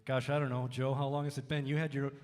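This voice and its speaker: A man's voice: mid-range voice, flat delivery, quick pace, darkish tone. A man from Wisconsin, a music teacher and drummer, talking casually with lots of fillers at a college Q&A. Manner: casual, many fillers, friendly. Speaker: music faculty, band drummer. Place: college music hall, reverberant. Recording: reverberant hall.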